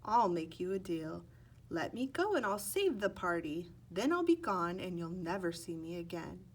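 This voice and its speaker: A woman's voice: lowish voice, sing-song, normal speed. A woman voicing a tiny, mischievous male leprechaun who bargains slyly in sing-song, folksy phrases. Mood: sly, bargaining.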